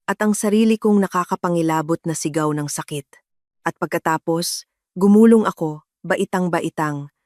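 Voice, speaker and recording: A woman narrates a dramatic story in the first person. Her voice sits a little low, and the sound is dry, with no room around it. The delivery is scripted storytelling. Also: a little mellow, no echo.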